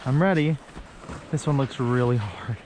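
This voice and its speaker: A man's voice: medium pitch, normal speed, background noise. A man in his twenties chatting casually outdoors on a ski slope. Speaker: vlogger. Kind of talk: casual chat while learning to ski. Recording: outdoor camera audio with music.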